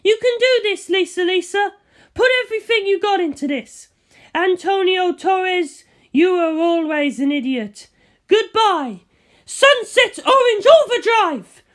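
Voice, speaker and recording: A man voicing a fierce, defiant young woman fighter with a declamatory, forceful delivery in an audiobook. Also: extremely high pitch, animated, measured pace, voice pushed up, full character voice. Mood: defiant, triumphant. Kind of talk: audiobook character acting.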